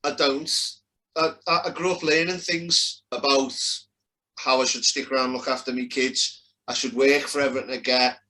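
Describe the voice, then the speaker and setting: A man's voice: mid-range voice, steady tone, moderate pace, pushed and bright, low-quality recording. A man with a Liverpool accent arguing his side in a heated casual debate, blunt and emphatic. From Liverpool, England.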